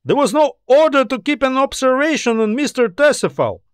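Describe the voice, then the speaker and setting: Man doing a timid young soldier, high-pitched. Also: lively intonation, brisk, clear, resonant tone, dry recording, studio quality. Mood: nervous. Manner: timid, flustered, insistent. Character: timid young low-ranking soldier answering his superior.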